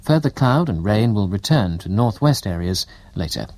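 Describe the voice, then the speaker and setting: A man with a British accent reading scripted radio continuity announcements in a formal, measured way. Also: on the low side, expressive, darkish tone, no room sound. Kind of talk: scripted announcements and weather forecast.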